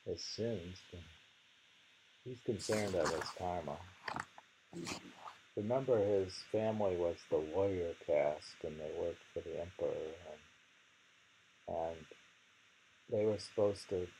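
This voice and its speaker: Slow, low, gravelly man reading poetry. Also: mellow, slight background noise, poor audio. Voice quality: gravelly, husky. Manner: deliberate, lingers over and repeats phrases. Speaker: poetry reader and commentator.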